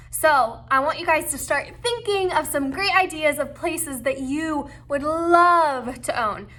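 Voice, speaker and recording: A woman with a bright, somewhat high voice presents a how-to lesson for children. She talks casually and encouragingly, like a teacher walking young listeners through each step of a craft. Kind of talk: friendly instructional how-to for kids.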